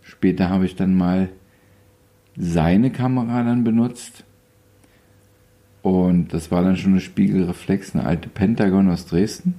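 A man's voice: low voice, measured pace, long pauses. A man in his fifties, a photographer being interviewed, talking casually with wry humor and many hesitations. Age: 50s. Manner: relaxed, rambling storyteller with wry humor and frequent hesitations. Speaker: photographer guest.

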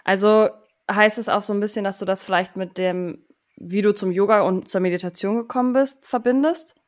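Attentive woman interviewer, medium pitch. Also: a little fast. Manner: attentive, short acknowledgements and follow-up questions. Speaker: podcast host, interviewer. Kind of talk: conversational interviewing.

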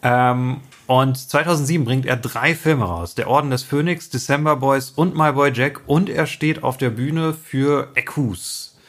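Ein Mann als Co-Host eines deutschen Filmpodcasts, der in lockerem Gesprächston mit vielen Füllwörtern und Neuansätzen Hintergründe zu einem Film erklärt. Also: male voice, medium pitch, fairly bright tone. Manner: explains with many fillers, pauses and restarts. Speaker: podcast co-host. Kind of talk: casual film podcast chat.

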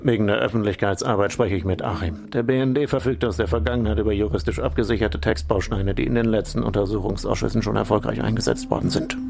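An actor playing a smug, condescending senior intelligence official in a radio play, patronising and mocking. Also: male voice, fairly low pitch, fairly even intonation, fast talker, gravelly, few pauses, music in the background.

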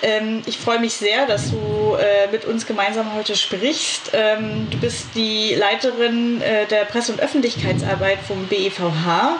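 A woman's voice: medium pitch, fairly even intonation, unhurried, few pauses. Woman podcast host in a noisy, roomy recording. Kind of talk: casual interview hosting. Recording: roomy sound with clear background noise.

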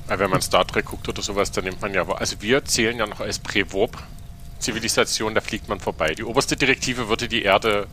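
Man, mid pitch, bright and forceful tone, jokey casual chatter, faint noise behind. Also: fairly even intonation, a little fast. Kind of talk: casual chat.

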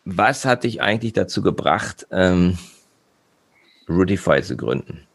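A man hosting a podcast interview. His voice swings widely in pitch as he reacts with enthusiasm. He fires off short questions to his guest. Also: lively intonation, unhurried, gravelly. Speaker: podcast host.

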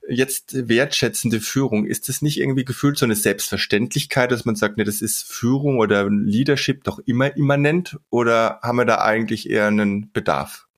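A man's voice: medium pitch, moderate pace, hardly any pauses. A man hosting a podcast and interviewing his guest in a conversational, somewhat hesitant way, with fillers. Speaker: podcast host, HR blogger.

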